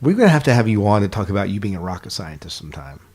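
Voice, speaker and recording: A man's voice: lowish voice, very animated, rapid-fire, darkish tone, a little hiss or hum. A man hosting a podcast, talking casually with his guest. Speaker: podcast host. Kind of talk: casual interview chat.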